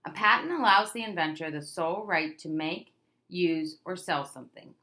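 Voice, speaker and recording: A woman's voice: on the low side, normal speed, sharp tone. A woman reading a children's picture book aloud, expressively, stressing key words as a storyteller does for young listeners. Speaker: read-aloud presenter.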